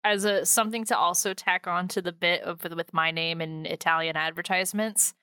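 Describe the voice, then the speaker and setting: Low, bright-voiced woman in playful chat. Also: steady tone, brisk, clean tone, dry recording. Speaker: podcast host asking questions. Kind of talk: casual chat.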